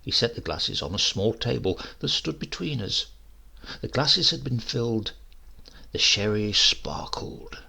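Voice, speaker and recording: A man with a gravelly, husky voice narrating a humorous short story in a measured, theatrical, scripted style. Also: on the low side, expressive, pushed and bright.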